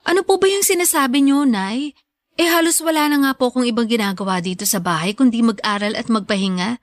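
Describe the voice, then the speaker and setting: A woman's voice: fairly high pitch, fast, no echo, clean studio sound, close to an everyday voice. A woman playing a hardworking, devoted student daughter in a Filipino radio drama, polite, respectful and earnest with her mother. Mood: reassuring.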